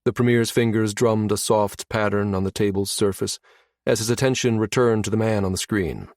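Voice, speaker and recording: A man narrates a science fiction audiobook in a low voice. The sound is dry, with very little room to it, and the delivery is that of scripted prose read aloud. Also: moderate pace, a little mellow, dry recording.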